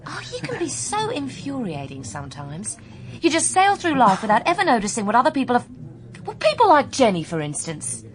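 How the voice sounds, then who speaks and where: Spirited British woman, very lively intonation. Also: high voice, a little fast, soft background music. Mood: exasperated. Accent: British English. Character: spirited, outspoken young English woman, easily exasperated. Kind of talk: British radio detective drama acting.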